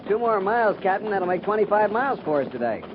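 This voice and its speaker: An actor playing an eager, deferential junior cavalry lieutenant in a 1950s radio western. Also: male voice, sits high, big pitch swings, fast talker. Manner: keen, deferential. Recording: muffled, clearly noisy 1950s radio recording.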